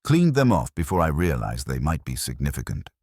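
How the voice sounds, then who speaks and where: A man voicing a sharp-eyed, purposeful cleaning woman in a mystery story, exact and matter-of-fact. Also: extremely low pitch, sing-song, brisk, breathy edge, dry recording, studio quality, full character voice.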